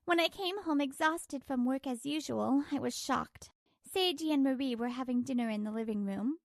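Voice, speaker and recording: Manga dub role: exasperated wife played by a woman, high, lively voice, dry close sound, expressive and aggrieved. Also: normal speed, creaky, dry recording. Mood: weary, troubled. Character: exasperated working wife, narrating her marital troubles. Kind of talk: scripted manga dub narration and dialogue.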